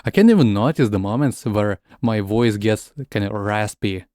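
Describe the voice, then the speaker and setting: A man's voice: fairly low pitch, animated, moderate pace, a little mellow, no echo, studio quality. A man whose first language is Russian, chatting casually while streaming a game, often stumbling and repeating words. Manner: switches between English and Russian. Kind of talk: casual gaming stream chat.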